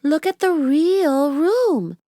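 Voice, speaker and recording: Woman voicing an eager, high-pitched little storybook girl. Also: lively intonation, slow pace, dry recording, clean studio sound. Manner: bright, impulsive exclamations and questions.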